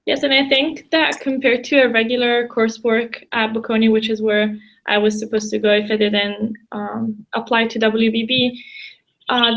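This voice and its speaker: Young Italian woman, flat delivery. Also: fairly high pitch, low-quality recording. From Italy. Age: young adult. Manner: orderly, explanatory. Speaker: student panelist. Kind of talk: informative explanation.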